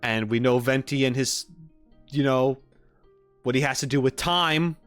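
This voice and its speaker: Man, medium pitch, livestream host, informal casual chat, trailing thoughts, faint background music. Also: a little slow, a bit bright, clean tone. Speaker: streamer and reaction host. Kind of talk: casual livestream chat, musing about a game.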